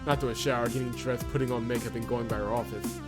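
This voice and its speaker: A man's voice: mid-range voice, steady tone, fast talker. A man hosting a visual novel playthrough, reading the narration aloud and reacting casually. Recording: loud game music behind voice. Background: game music.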